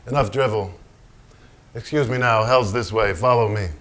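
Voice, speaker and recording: Man playing a low-voiced, boozy, swaggering painter. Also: normal speed, a bit bright, natural voice. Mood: crude, ranting. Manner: declamatory, crude, sardonic. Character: boozy, swaggering, profane male genius painter. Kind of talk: theatrical staged reading, monologue.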